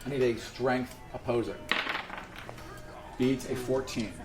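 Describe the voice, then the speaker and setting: A man's voice: medium pitch, fairly even intonation, takes it slowly. A man running a tabletop role-playing game, narrating off the cuff in a casual, sweary way. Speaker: game master. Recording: echoey room with music behind. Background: music.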